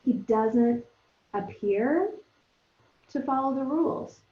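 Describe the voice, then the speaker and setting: A woman teacher thinking aloud for young pupils in a conversational, expressive read-aloud lesson, speaking to them directly. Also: high-pitched, slow, phone-quality sound.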